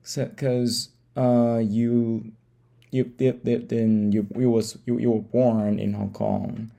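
A man hosting a podcast interview with halting delivery, often stumbling over and repeating words, in a gravelly voice. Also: medium pitch, little pitch movement, mellow. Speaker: podcast host. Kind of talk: interview, reading a guest introduction then asking questions.

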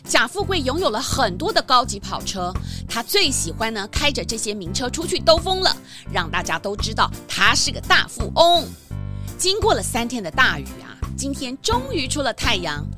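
Animated high-voiced woman storyteller. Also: moderate pace, over music. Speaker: narrator. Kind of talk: expressive children's story narration, scripted.

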